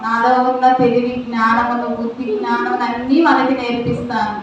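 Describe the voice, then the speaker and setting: Muffled, far-off recording. Talking Bible friend puppet played by a woman, instructive and gentle, flat melody, for a children's church show. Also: mid-range voice, brisk, close to an everyday voice. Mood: gently instructive. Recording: echoey hall from afar, muffled, faint noise.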